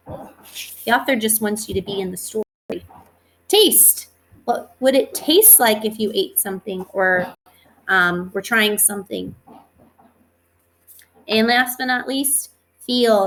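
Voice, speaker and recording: A woman's voice: a little high, gravelly. A woman giving a read-aloud lesson to young children as their teacher, instructive, stressing key words and posing questions that she answers herself. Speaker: elementary school teacher.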